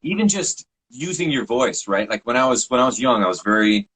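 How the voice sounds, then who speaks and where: A man with a low voice, speaking as a guest in a relaxed interview, reflective and with trained projection. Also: a little fast, slightly rough, low-quality recording. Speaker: podcast host and guest. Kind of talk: casual interview talk.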